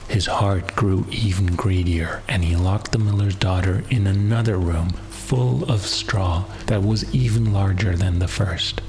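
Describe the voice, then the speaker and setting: A male storyteller with a fairly low voice reads a scripted fairy tale for bedtime. His delivery is measured and hushed, with music playing underneath. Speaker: storyteller, audiobook narrator. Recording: music behind the voice.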